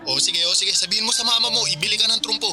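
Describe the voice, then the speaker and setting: A man's voice: high voice, racing, close to an everyday voice. A man playing a doting, generous uncle with a playful manner in a radio drama. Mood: affectionate. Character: affectionate, generous uncle on a phone call. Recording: loud music bed behind.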